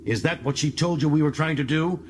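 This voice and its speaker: A man's voice: fairly high pitch, fairly quick, background noise, pitched above the performer's own voice, lightly acted. Man playing a scornful, fierce young alien prisoner. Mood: furious, bitter. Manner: scornful, dramatic, intense. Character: bitter, proud young male alien prisoner, fierce and contemptuous.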